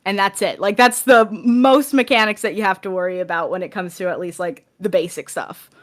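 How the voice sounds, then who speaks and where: A woman speaks as a guest on a casual hobby podcast. Her voice sits at a medium pitch, and she talks in a halting way, working out her thoughts as she goes. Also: steady tone. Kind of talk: casual podcast chat about tabletop games.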